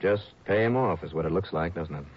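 An actor playing a wry, tough insurance investigator who is dry, blunt and wisecracking, in a 1960s radio drama. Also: male voice, deep voice, brisk, slightly rough, highs cut off.

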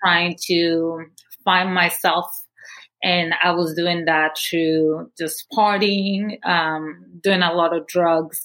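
A woman's voice: lowish voice, little pitch movement, takes it slowly, a bit bright, poor audio. A young woman from Guatemala, a podcast guest candidly telling her life story with many fillers. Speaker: guest, movement founder. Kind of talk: personal story interview.

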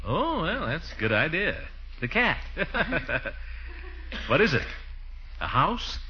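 An actor playing a pompous, suspicious middle-aged uncle in a 1940s radio comedy, probing with mock politeness. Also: male voice, fairly high pitch, sing-song, takes it slowly, pushed and bright, full character voice. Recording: muffled, noisy 1940s radio recording.

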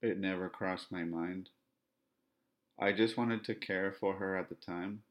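A man from Ontario speaking in a podcast monologue, with a self-described monotone, flat delivery and measured, repetitive phrasing. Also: deep voice, muffled recording. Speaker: podcast host.

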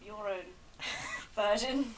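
A woman's voice: medium pitch, very slow, rough recording. A woman with a nasal voice, co-hosting a podcast in casual, giggly banter. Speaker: podcast co-host. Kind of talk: casual chat.

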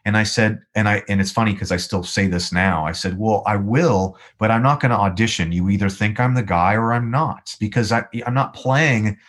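A man, likely in his thirties, with a baritone voice, telling a long rambling story as a guest in an interview. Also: normal speed, few pauses. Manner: rambling, animated storyteller with many restarts. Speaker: guest musician.

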